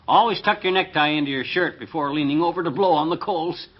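Boastful, bumbling husband, highish husky voice, folksy bluster in vintage radio comedy. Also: male voice, fast. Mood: proud. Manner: folksy, self-important, blustering. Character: excitable, boastful bumbling husband playing backyard chef. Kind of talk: 1950s radio comedy acting. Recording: dull, lo-fi old radio recording.

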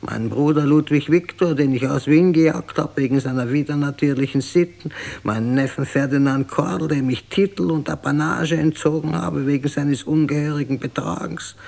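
An actor playing a bitter, weary old Austrian emperor in a radio drama, grumbling and brooding with an Austrian accent and a creaky, gravelly voice. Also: male voice, on the high side, normal speed, a little mellow. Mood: bitter, indignant.

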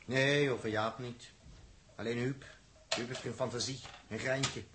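A man's voice: mid-range voice, steady tone, a little slow, lightly acted. A brusque, irritable spaceman played by an actor in a 1960s radio drama, heard through heavy noise. The man is blunt and dismissive, grumbling in short, curt phrases. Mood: irritated. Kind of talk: 1960s radio play acting. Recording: very noisy old radio recording.

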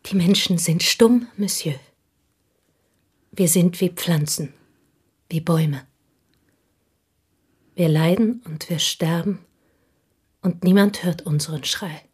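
An actress in a German radio play, playing an intense, embittered provincial Frenchwoman making a fervent, halting confession in a husky, breathy voice. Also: fairly low pitch, darkish tone, heavily acted. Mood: solemn, yearning. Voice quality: husky, breathy, dropping into whispers. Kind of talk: German radio play acting, dramatic monologue.

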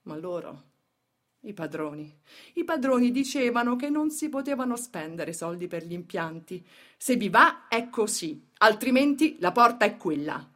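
An actress playing a worker's bitter, plain-spoken daughter giving grave testimony in a radio theatre monologue. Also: female voice, mid-range voice, big pitch swings, normal speed, a bit bright. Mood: bitter, indignant.

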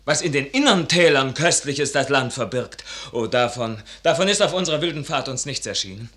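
An ardent young soldier, piercingly bright-voiced. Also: male voice, medium pitch, animated, fairly quick. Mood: wistful. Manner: declamatory, impassioned, swelling. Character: ardent idealistic young soldier, son at odds with his father. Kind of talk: classical German stage verse radio acting.

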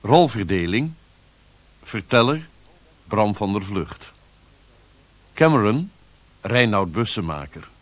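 A male announcer with a fairly low voice reads radio credits. He is formal and extremely unhurried, and the sound is dry. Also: no echo. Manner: formal, deliberate. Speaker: announcer reading closing credits.

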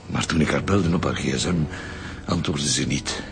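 Deep-voiced, halting, uneasy Flemish husband. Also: male voice, creaky. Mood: subdued, anxious. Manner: halting, repeats himself. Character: uneasy, defensive husband of a missing woman. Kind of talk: naturalistic radio drama acting. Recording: music behind the voice.

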